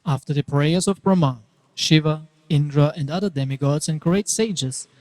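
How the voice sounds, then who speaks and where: Guy doing dramatic scripture narration, highish voice, very emphatic, big echoey hall. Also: moderate pace. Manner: theatrical, emphatic, swelling and hushing for effect. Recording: long reverberant hall echo.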